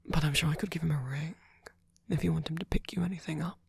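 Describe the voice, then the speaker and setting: A sweet, doting vampire boyfriend, acted out by a performer, speaks in a very breathy half-whisper. He is gentle and attentive, halting and rambling softly through an ASMR comfort roleplay. The sound is close and dry, with a creaky edge. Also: medium pitch, fairly even intonation, no echo. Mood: tender, reassuring. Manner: hesitant, halting, rambling, with soft filler murmurs.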